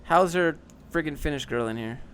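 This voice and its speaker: A man's voice: on the high side, moderate pace, fairly bright tone, a little hiss or hum. A young man casually reading and answering chat on a livestream, dry and sarcastic, often rambling.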